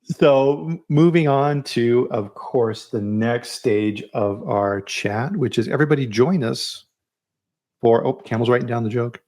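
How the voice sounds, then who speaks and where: A man's voice: mid-range voice, unhurried, darkish tone. Chatty man hosting a live chat. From United States. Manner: chatty, restarts and stammers. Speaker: podcast host.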